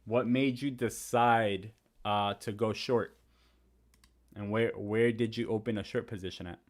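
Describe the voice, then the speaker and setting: Live-stream host: young man, Spanish first language, lowish voice, chatty, answers chat, walks through charts. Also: fairly even intonation, a little slow. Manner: chatty, reads and answers viewer comments; talks through trading charts.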